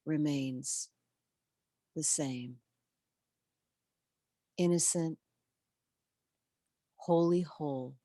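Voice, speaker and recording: Slow, low-voiced woman leading gentle meditation. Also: no echo, studio quality. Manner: gentle, soothing, measured with long pauses. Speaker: spiritual coach leading guided meditation. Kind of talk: guided meditation and prayer.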